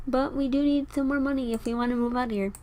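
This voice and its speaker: Young woman, a bit raspy from a sore throat, higher voice, chatty gameplay commentary, faint typing in the background. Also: fairly even intonation, quick pace, darkish tone, a little hiss or hum. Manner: chatty, rambling, talks to her game characters. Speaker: gameplay video host.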